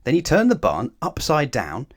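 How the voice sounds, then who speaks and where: A man reading a children's story aloud in an expressive, animated way, with emphatic phrasing aimed at the listener. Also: on the high side, moderate pace. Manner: animated, asks the listener questions, emphatic repetition.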